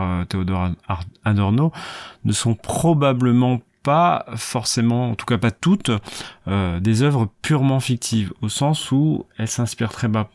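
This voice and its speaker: A man's voice: medium pitch, a little mellow, no room sound. A young man hosting a solo podcast into a close desk mic, thinking aloud unscripted with many restarts and fillers. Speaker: podcast host, doctoral student.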